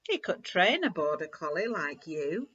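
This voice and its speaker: A woman voicing a kindly old man in a storybook, gently explaining things to his dog. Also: on the low side, sing-song, moderate pace, husky, heavily acted. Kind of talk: children's storybook read-aloud. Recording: slightly dull, lo-fi recording.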